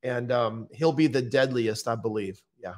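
American male podcast guest, middle-aged, mid-range pitch, matter-of-fact and conversational. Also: moderate pace. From United States. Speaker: podcast guest, true-crime interviewer and author. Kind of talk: conversational interview talk. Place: indoor room, on a video call. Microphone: microphone on boom arm.

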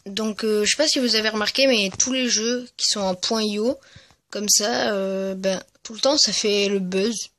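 A gaming video creator giving casual, hesitant and rambling commentary over gameplay, speaking in a medium-high voice. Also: fairly even intonation, normal speed, fairly bright tone. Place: at home, voice-over on mobile gameplay recording.

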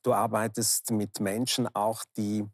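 Male talk host, fifties, medium-pitched and husky, conversational, feels for his words, close dry mic. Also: little pitch movement, unhurried, dry recording. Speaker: talk show host, interviewer. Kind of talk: conversational interviewing. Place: large indoor bar hall, seated interview. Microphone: lapel microphone.

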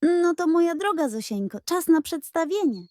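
A woman's voice: very high voice, sing-song, moderate pace, a little mellow, no room sound, pitched above the performer's own voice. A woman voicing a sly, hungry male pug who gleefully schemes to himself in a children's fairy tale.

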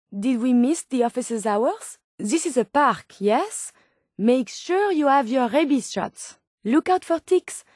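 High-pitched, inquisitive robot scientist lady. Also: darkish tone, no echo, clean studio sound. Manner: precise, formal, bookish phrasing. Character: curious, polite, naively earnest female robot scientist. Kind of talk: video game companion dialogue.

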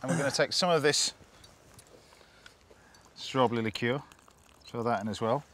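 A man, a TV chef chatting through a cooking demonstration outdoors, instructive and quick to laugh. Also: mid-range voice, noisy background. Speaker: TV chef host. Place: outdoors at a campsite.

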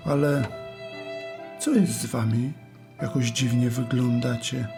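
A man playing a wistful, resigned paralysed man in a rhymed Bible audio drama for children, with measured delivery. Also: medium pitch, steady tone, creaky, music in the background. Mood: puzzled, curious.

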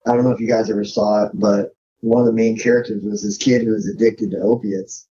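A man's voice: lowish voice, little pitch movement, fast, mellow, breathy edge. A man chatting casually as a podcast guest, agreeable and rambling, with folksy slang, on dull lo-fi audio. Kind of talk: casual chat.

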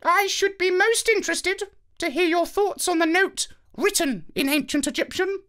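A man voicing a haughty, vain genius parrot with a squawky, pompous, drawn-out delivery in a children's story. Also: bright, forceful tone. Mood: self-satisfied. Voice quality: shrill, squawky.

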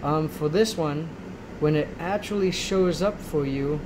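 A man giving a casual, rambling software tutorial, often checking in as he goes, recorded at home on a handheld camera. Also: on the high side, measured pace. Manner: rambling, checks in with frequent "right?". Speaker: tutorial host. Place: indoors in a room at home. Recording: noisy amateur home recording. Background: clear steady background noise.

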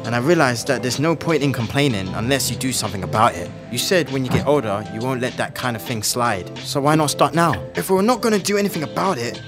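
A man's voice: medium pitch, lively intonation, quick pace, few pauses, music in the background, natural voice. An actor playing an earnest, streetwise teenage boy with a London accent and slangy delivery in a comic drama. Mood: reassuring.

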